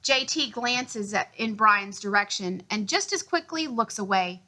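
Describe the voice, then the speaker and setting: A woman reading a children's picture book aloud in an expressive, deliberate storytelling style. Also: medium pitch.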